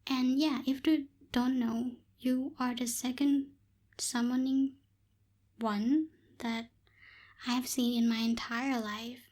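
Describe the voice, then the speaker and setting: Woman acting as aloof tsundere witch, high soft voice, very slow, ASMR roleplay. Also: fairly even intonation. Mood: matter-of-fact. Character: aloof tsundere night witch, lazy, businesslike young woman. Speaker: voice actor in audio roleplay.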